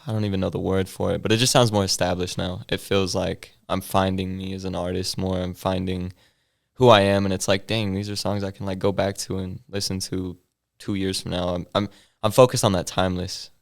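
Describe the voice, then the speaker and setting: Deep gravelly young man, laid-back. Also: little pitch movement, brisk. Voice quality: deep bassy voice that he says sounds mumbled. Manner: laid-back slang-heavy storytelling with many fillers. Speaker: hip hop artist guest. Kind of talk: casual interview chat.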